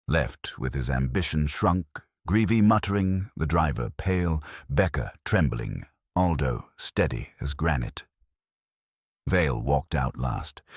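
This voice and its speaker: A man's voice: extremely low pitch, slightly rough, dry recording, studio quality. A man narrating a scripted first-person detective story.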